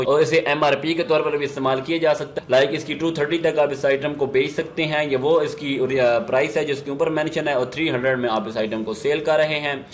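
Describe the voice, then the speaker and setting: A man with a Pakistani accent presenting an instructional software demo, explaining step by step and mixing in English business terms. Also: a little high, slight background noise.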